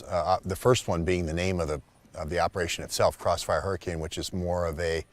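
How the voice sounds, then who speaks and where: Man, very low pitch, show host, explanatory commentary. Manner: explanatory, speculative. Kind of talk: casual commentary.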